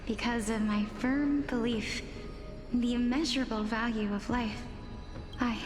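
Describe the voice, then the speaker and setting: A woman voicing a gentle, earnest young game heroine with a high voice. She speaks slowly, in a delicate and formal way, as someone bound to a fated sacrifice, with music clearly behind her. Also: fairly even intonation, close to an everyday voice. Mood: solemn, sorrowful. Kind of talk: scripted game dialogue acting.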